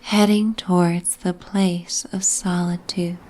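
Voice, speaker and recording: Very slow, soft-spoken woman narrator. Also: on the low side, flat delivery, a little hiss or hum. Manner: soft, breathy, half-whispered, soothing. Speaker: narrator of sleep stories. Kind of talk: bedtime sleep story narration, guided relaxation ASMR.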